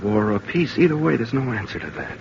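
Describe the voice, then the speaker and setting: An actor playing an idealistic young college student in an old-time radio drama, earnest and impassioned. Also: male voice, medium pitch, sing-song, brisk, vocal fry, darkish tone. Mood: subdued, earnest. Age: young adult. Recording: dull, noisy vintage radio recording.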